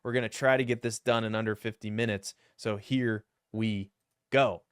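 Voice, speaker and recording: A young man with a deep voice that now and then cracks high, casually talking through a fantasy football mock draft with rambling asides. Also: fairly even intonation, normal speed, clean tone, dry recording, studio quality. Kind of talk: solo mock draft commentary.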